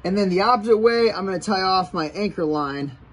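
A man's voice: high voice, expressive, brisk, background noise. A man in his thirties giving a casual step-by-step how-to demonstration outdoors on a porch, talking while he works with his hands, picked up by a camera mic a few feet away. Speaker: kayak fishing channel host.

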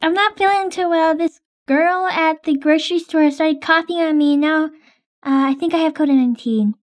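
Cast: woman as a nervous sick young woman, very high voice, stammering game roleplay. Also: normal speed. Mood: worried. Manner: hesitant, full of ums and stammers. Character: sick, timid young woman fearing a virus. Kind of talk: improvised game roleplay voice-over.

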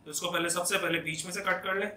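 A man's voice: high-pitched, fairly even intonation, fast talker, bright, forceful tone, reverberant, a little hiss or hum. A middle-aged man hosting a home cooking channel, explaining each step patiently while he chops at the counter. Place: home kitchen, indoors.

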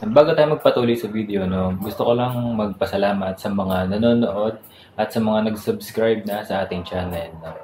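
Low-voiced Filipino man, echoey noisy recording. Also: moderate pace, a little mellow. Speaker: motovlogger and channel host. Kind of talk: casual vlog product rundown. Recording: roomy echo, clear background noise.

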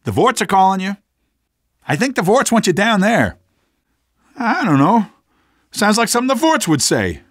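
Jumpy high-pitched tunnel fighter. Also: male voice, very animated, moderate pace, pauses often, fairly bright tone, no echo, clean studio sound, heavily acted. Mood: uneasy, urgent. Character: jumpy, wisecracking male resistance fighter defending a tunnel. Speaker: video game minor character. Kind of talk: video game voice acting.

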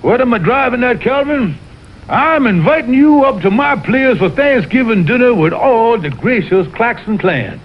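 An actor voicing a blustering, scheming Southern fox colonel in an old TV cartoon, with pompous, flowery talk and a Southern US accent. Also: male voice, very high-pitched, expressive, moderate pace. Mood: wheedling. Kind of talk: 1960s TV cartoon acting. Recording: muffled, noisy old television soundtrack.

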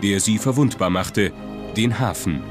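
A man's voice: lowish voice, lively intonation, measured pace. A man reading scripted documentary narration as the narrator. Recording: music under the voice. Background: prominent music.

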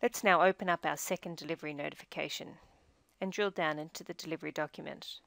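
A woman reading scripted software tutorial narration in a measured, instructional manner, recorded as a close-miked voice-over. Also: sits low, steady tone, vocal fry, no echo.

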